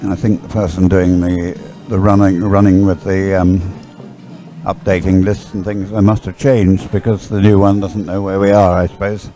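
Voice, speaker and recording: A man's voice: deep voice, little pitch movement, normal speed, mellow, soft background music, poor audio. A man giving live sports commentary in a rambling, hesitant way, as if thinking aloud.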